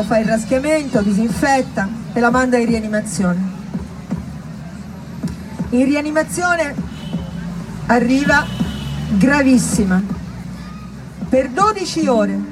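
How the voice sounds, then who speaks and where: A woman's voice: on the high side, rough recording. A woman performing a storytelling monologue in an emphatic, declamatory manner with short, pointed phrases. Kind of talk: dramatic theatrical storytelling monologue.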